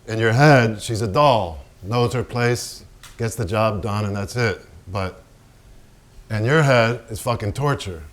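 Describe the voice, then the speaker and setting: An actor playing a hard-drinking, tormented painter in a theatrical staged monologue, profane, brooding and rambling. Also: male voice, fairly low pitch, unhurried, natural voice. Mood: bitter, brooding. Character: hard-drinking, foul-mouthed, tormented male painter, self-mocking. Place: staged reading in a barn before an audience.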